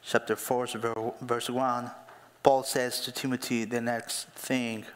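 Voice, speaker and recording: A man in his thirties preaching a sermon as a pastor, speaking as an in a slow, emphatic way that builds to louder calls to action. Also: mid-range voice, little pitch movement. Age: 30s. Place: church hall. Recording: long hall reverb.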